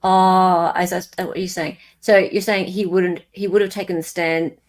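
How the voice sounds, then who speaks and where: A woman's voice: fairly low pitch, steady tone, moderate pace. A woman with an Australian accent hosting a casual panel discussion, agreeing and restating points to check them.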